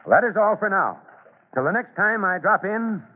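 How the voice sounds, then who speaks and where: An actor playing an earnest, authoritative fire chief in an old-time radio serial, speaking deliberately. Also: male voice, high voice, expressive. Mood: instructive, earnest. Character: calm, authoritative fire chief investigating, duty-minded man. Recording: tinny, band-limited old radio recording.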